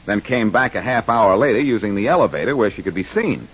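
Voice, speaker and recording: An actor playing a smug, wisecracking amateur detective in a 1940s radio drama, teasing and self-assured. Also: male voice, fairly low pitch, expressive, fast, breathy edge. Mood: smug explaining. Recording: muffled, hissy 1940s radio recording.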